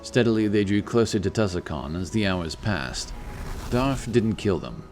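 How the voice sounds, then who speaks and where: Very low-pitched male fantasy narrator. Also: animated, normal speed, darkish tone, faint music behind.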